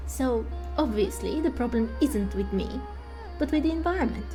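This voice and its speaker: A woman's voice: on the high side, animated, normal speed, over music, lightly acted, rough recording. A woman acting a grumbling fisher villager in a game, explaining things in a matter-of-fact way. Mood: insistent.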